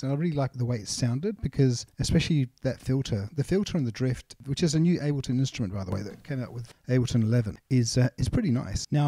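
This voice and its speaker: A man's voice: mid-range voice, dark tone, dry recording. A man narrating a casual music production tutorial, explaining informally step by step. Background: music samples and synth sounds.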